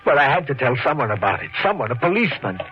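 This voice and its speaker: An actor playing a timid, guilt-haunted shopkeeper in 1940s radio drama style, intense, halting and nervous. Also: male voice, medium pitch, sing-song, natural voice. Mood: haunted, brooding. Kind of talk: 1940s radio drama acting, first-person narration. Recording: muffled old radio broadcast with music.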